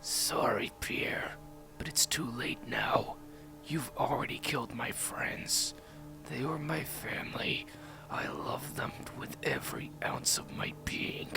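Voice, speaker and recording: Man as a vengeful cartoon dog, creaky and whispery. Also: a little high. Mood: menacing, mournful. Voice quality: breathy, growling. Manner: slow, intense, dramatic. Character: vengeful, grieving male cartoon dog in horror story. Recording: music behind the voice.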